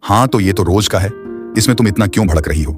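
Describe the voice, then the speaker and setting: Man voicing contemptuous husband, animated story, very low voice, harsh scornful tone. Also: fast, close to an everyday voice. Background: background music.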